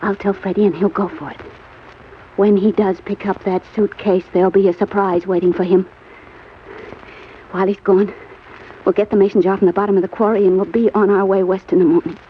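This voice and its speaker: A woman's voice: mid-range voice, flat delivery, fairly quick, natural voice. An actress playing a scheming, earnest female accomplice who implores and professes love in an old-time radio crime drama. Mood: hushed, coaxing. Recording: muffled, noisy old radio recording.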